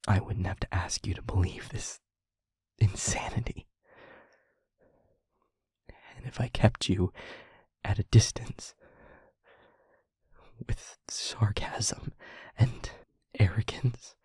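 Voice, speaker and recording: A man playing a guilt-ridden demon lover in an audio roleplay, confessing tenderly and in anguish in a halting, breathy, husky hush. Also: on the low side, no room sound, clean studio sound, full character voice. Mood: regretful, tender. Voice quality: breathy, husky, often whispering. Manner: halting, hushed, dramatic pauses. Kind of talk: intimate audio roleplay monologue.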